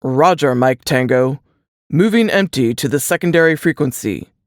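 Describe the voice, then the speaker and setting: A narrator voicing a brisk, businesslike mission-control dispatcher with crisp military radio phrasing in a suspense audiobook. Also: medium pitch, expressive, no echo, clean studio sound, close to an everyday voice.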